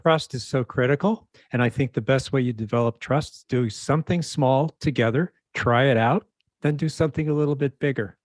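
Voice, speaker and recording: Regular pitched guy giving advice on a call, close dry mic. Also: a little fast, dry recording. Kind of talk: conversational advice. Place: online video call, close dry sound.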